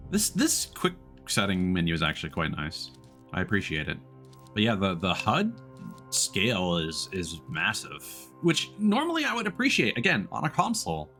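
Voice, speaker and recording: A man's voice: sits low, wildly swooping pitch, moderate pace, sharp tone, soft background music. A man giving casual live gameplay commentary as a streamer, rambling and restarting his sentences mid-thought.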